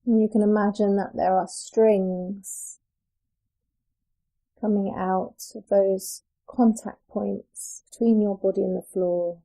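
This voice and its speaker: A woman with a dark, relaxed, mellow voice leads a guided relaxation. She sounds like a yoga teacher, soothing and gentle, leaving unhurried pauses between phrases. Her pitch sits in an ordinary middle range for a woman. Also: vocal fry, rough recording.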